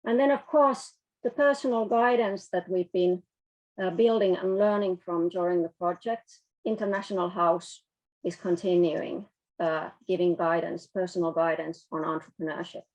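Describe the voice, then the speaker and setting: A woman with a slightly low voice runs the event, giving announcements in an upbeat, encouraging way. She sounds positive and keeps things moving. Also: steady tone, normal speed, darkish tone, highs cut off. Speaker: event host.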